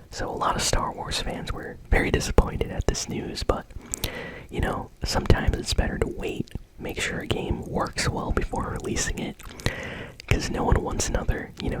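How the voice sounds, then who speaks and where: A host whispering casual, unscripted ASMR gaming news commentary in a breathy, unvoiced voice. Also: very high-pitched, rough recording. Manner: casual, unscripted, hesitant phrasing with trailing asides.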